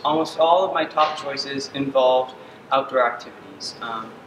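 Young man speaking far off in an echoey hall. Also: on the high side. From Uganda. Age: young adult. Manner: earnest, frequent ums. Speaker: student presenter. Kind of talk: senior capstone presentation. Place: dark stage in a hall. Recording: distant echoey hall with ambient noise.

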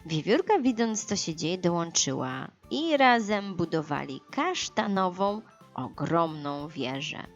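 A woman reads a children's story in a lowish, very animated voice. She takes her time over every sentence. Music plays faintly behind her. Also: highs cut off. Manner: expressive, unhurried, dramatized for children. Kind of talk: scripted children's audiobook narration.

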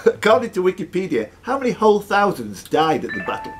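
A man's voice: high voice, sing-song, fairly quick, soft background music. A man hosting a live quiz, reading out questions and scores and teasing the contestants. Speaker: quiz host and question master.

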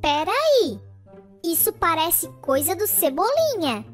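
A woman's voice: very high voice, very animated, slow, soft background music, full character voice. A woman voicing a gullible yet feisty little girl in a children's cartoon, childlike and plaintive. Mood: suspicious. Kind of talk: children's cartoon voice acting in Portuguese.

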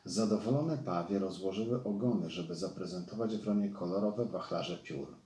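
A man's voice: low voice, moderate pace, mellow. A man reading a children's fairy tale as a scripted storyteller. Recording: roomy echo.

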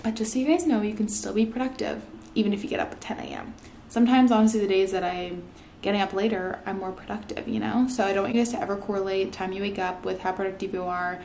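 Young female vlogger, chatty and upbeat, slight vocal fry, faint hiss in a home living room. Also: medium pitch, steady tone, fast talker, a little mellow. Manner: chatty, upbeat, lots of "like" and "you guys". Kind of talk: casual vlog chat to camera.

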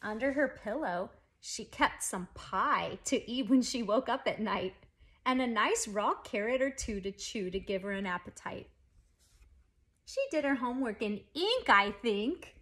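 A woman in her forties reads a children's picture book aloud at home. Her voice is on the high side, with expressive, emphatic phrasing aimed at young listeners. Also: measured pace. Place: living room at home.

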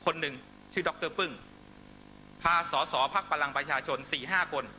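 A middle-aged man giving an impassioned political rally speech in emphatic, short measured phrases into a stage microphone. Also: a little high, sharp tone. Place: large night-time outdoor rally stage. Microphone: stand microphone on stage. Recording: noisy live rally public-address broadcast with hum.

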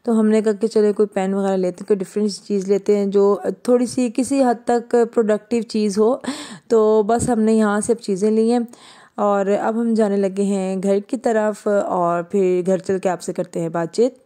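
A woman's voice: mid-range voice, level tone, normal speed, dark tone. A young woman from Pakistan, chatting casually as she narrates her own vlog. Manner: chatty, conversational, laughs while talking. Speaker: vlogger.

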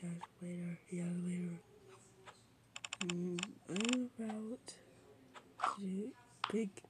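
A woman improvising an amateur fan dub of a cartoon, repeating short exclamations over and over. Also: medium pitch. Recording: noisy amateur recording with clicks.

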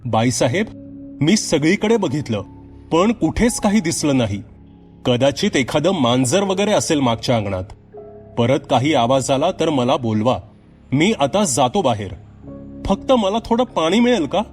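A man's voice: fairly high pitch, big pitch swings, a little slow, darkish tone, faint music behind. A man voicing a deferential, inquisitive bungalow watchman in a horror story, addressing an employer with respectful questions. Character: deferential male bungalow watchman, curious and chatty. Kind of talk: dramatised horror story dialogue.

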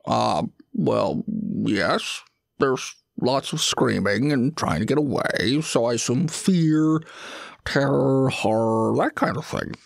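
A man's voice: mid-range voice, expressive, slow, vocal fry, no room sound, studio quality, heavily acted. A man voicing a polite, hesitant closet monster in an audio story, speaking in a mild, conversational way. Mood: mild, pondering. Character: male closet monster, hesitant, polite, tentacled child-eater. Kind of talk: acted audio story dialogue.

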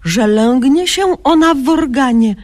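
A woman's voice: high-pitched, a little slow, darkish tone. An actress playing a self-important, cheerfully incompetent young woman doctor in a satirical radio sketch, mock-solemn and pompous, mangling learned words. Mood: pompous, unbothered.

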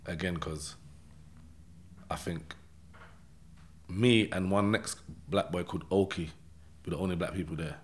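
Male podcast guest, London accent, low voice, street slang, casual first-person storyteller who keeps checking the listener follows. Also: a little slow, pauses often. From London, England. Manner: streetwise slang, repeated check-in tags like "yeah?" and "innit". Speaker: podcast guest telling his life story.

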